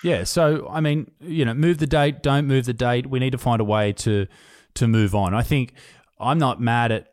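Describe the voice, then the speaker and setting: An Australian man talking on a podcast in a middle pitch, blunt and profane, his thoughts running on. The sound is dry and close with no room around it. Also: normal speed, a little mellow, no echo. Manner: blunt, swears freely, loose run-on talk. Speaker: podcast host. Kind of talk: casual chat.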